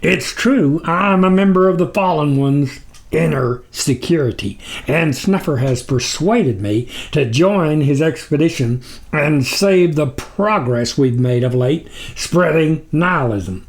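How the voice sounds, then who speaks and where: A man's voice: a little high, animated, measured pace. A man voicing a self-assured, dismissive demon agent with a formal manner in a storybook reading. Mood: smug, formal.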